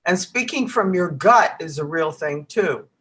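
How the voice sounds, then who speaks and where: Low-voiced older woman mentoring. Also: expressive, measured pace, a bit bright. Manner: reassuring, affirming, teacherly. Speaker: interview guest, contralto and voice mentor. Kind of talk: conversational interview.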